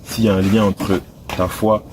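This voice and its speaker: A male interview host with a low voice asks questions calmly and keeps his replies short. He is picked up from a distance, with clear noise running behind him. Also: normal speed. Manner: calm, short acknowledgements. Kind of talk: interview hosting.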